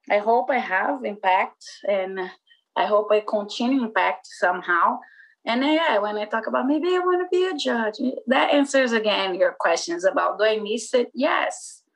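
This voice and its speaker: A woman's voice: a little high, vocal fry, rough recording. A woman in her forties from Brazil with a Brazilian Portuguese accent, speaking English as a second language and chatting casually in an interview, full of "like" and "you know". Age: 40s. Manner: rambling, many fillers like "like" and "you know". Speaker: skateboarder and former magazine publisher.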